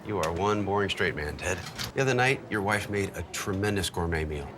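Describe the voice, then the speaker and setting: A man's voice: low-pitched, fairly quick, lightly acted. A man playing a teasing buddy in an amateur film. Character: teasing male buddy of a dull straight man. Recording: noisy film location sound.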